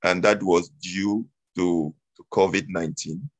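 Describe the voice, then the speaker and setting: A man's voice: low-pitched, fairly even intonation, unhurried, dry recording. A man, telling his story as an interview guest, hesitant with many fillers and restarts. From Cameroon.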